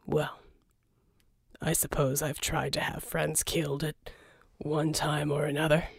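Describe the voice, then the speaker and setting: A gruff male mercenary from an audiobook, played by a woman. The voice sits low and subdued, slow and muttering. It is deadpan, sardonic and thoroughly unimpressed. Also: dry recording, clean studio sound, exaggerated voice. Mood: dry, sardonic. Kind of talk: audiobook character dialogue.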